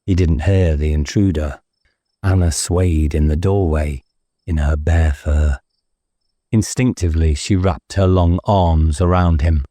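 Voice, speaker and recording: A male narrator reads a novel in a dramatic, scripted style. His voice is low and dark, and the recording is close and very dry. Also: fairly even intonation, measured pace, no echo. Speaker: audiobook narrator.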